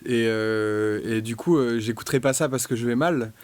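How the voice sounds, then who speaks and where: A young French man, a guest musician, talking casually and reflectively, with many pauses, fillers and restarts. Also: mid-range voice. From France. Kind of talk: casual podcast conversation.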